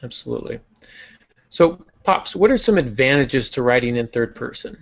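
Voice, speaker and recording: A man co-hosting a writing podcast, talking in a relaxed, chatty way with frequent restarts. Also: mid-range voice, normal speed, creaky. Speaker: podcast co-host. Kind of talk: casual writing craft chat. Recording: dull lo-fi podcast audio.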